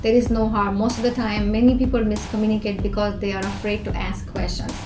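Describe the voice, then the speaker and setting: A woman's voice: medium pitch, little pitch movement, a little fast. A woman from Bangladesh, earnestly talking to camera as a communication coach and giving numbered tips in a direct, advising way. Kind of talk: advice talk to camera. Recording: echoey room with background music.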